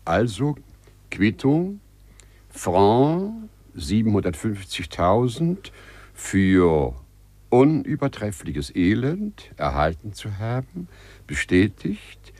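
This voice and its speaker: A man playing a formal, courteous gentleman with a dry, officious manner in a 1950s radio play. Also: on the low side, very animated, slow, dry recording, heavily acted. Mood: brisk, businesslike. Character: formal, businesslike gentleman thief handing out charity. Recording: 1950s radio studio recording.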